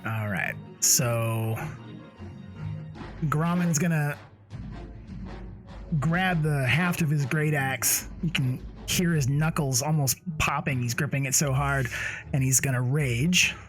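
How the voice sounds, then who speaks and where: A man narrates his character's moves in a tabletop game on a voice call. He speaks very slowly, and there is faint music behind him. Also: mid-range voice. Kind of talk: describing own character's actions.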